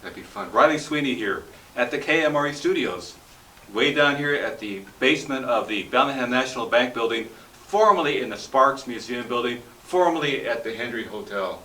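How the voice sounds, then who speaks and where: A man's voice: mid-range voice, expressive, normal speed, bright, forceful tone. A man hosting a casual radio interview, encouraging his guest with brief remarks and simple questions. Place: basement radio station studio. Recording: echoey room, distant mic, faint noise.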